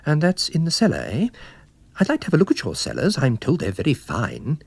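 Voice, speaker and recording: A man's voice: on the high side, huge pitch swings, fairly quick, gravelly, husky, no room sound, pitched above the performer's own voice, heavily acted. A man voicing a polite, shrewd, inquisitive wine salesman who plays detective in a radio drama. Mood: curious, probing. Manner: courteous, inquisitive, chatty. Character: polite, curious, shrewd travelling wine salesman turned sleuth.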